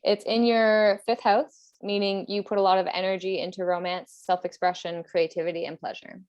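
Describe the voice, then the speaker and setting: A woman's voice: mid-range voice, flat delivery, normal speed, a little mellow, no room sound, highs cut off. A woman with a mid-range voice hosts a casual podcast. She talks conversationally and sometimes reads aloud. The sound is very dry, with no room around it. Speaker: podcast host.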